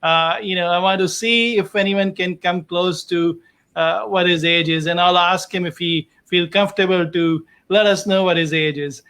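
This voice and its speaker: A man speaking as host of a casual interview show, in a halting, repetitive and encouraging way. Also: sits high, fairly even intonation, a bit bright, smooth. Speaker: host, doctor interviewing his patient. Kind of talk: casual online show chat.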